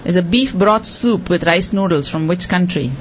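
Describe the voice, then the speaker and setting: A woman hosting a quiz show for children, repeating each question slowly and cheering the contestants on. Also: lowish voice, animated, lo-fi sound, over music. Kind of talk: hosting a radio quiz for kids.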